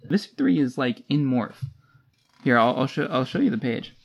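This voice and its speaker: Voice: medium pitch, lively intonation, normal speed, creaky, slightly rough, lo-fi sound. Casual podcast co-host retelling a story. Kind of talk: casual book discussion.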